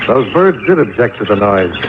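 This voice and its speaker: An actor playing a terse, wry insurance investigator in a 1950s radio drama, with a dry American delivery. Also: male voice, fairly low pitch, sing-song, normal speed, heavy background noise. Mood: dry, challenging. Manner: clipped, dry. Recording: muffled old radio recording with loud music.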